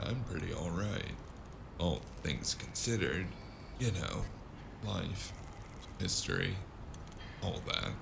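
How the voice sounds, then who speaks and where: A man's voice: low voice, gravelly, noisy background, exaggerated voice. A man playing a battered, sardonic, world-weary investigator in a cyberpunk noir audio drama. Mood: groggy, sardonic.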